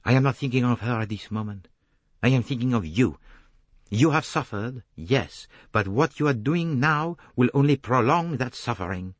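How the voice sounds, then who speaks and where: A man voicing a gentle older male detective, measured and formal, in an audiobook novel. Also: medium pitch, animated, no echo, pitched above the performer's own voice, natural voice. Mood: gentle, grave.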